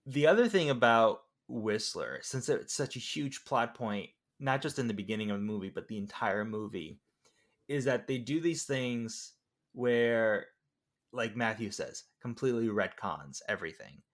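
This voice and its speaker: A man's voice: mid-range voice, lively intonation, normal speed. A man chatting casually about films as a podcast panelist, thinking aloud in a conversational way. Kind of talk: casual film discussion.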